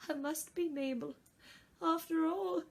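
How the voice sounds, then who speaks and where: A woman's voice: very high voice, fairly even intonation, unhurried, creaky, pitched above the performer's own voice, full character voice. A woman voicing a fretful, puzzled young girl in a storybook, with a plaintive, dramatic manner. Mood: tearful, despairing. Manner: plaintive, dramatic, self-questioning.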